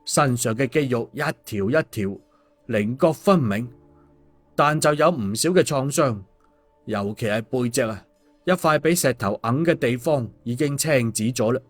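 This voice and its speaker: A man's voice: medium pitch, lively intonation, unhurried. Male storyteller over music. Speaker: audiobook storyteller. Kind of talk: scripted story narration, acting out dialogue. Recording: music behind the voice. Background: background music.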